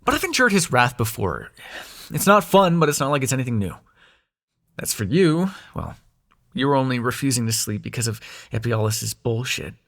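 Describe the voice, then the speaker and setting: A man playing a snarky but secretly soft-hearted young demon prince in an intimate audio roleplay, teasing the listener and turning hesitant when showing affection. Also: mid-range voice, sing-song, moderate pace. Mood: wry, resigned.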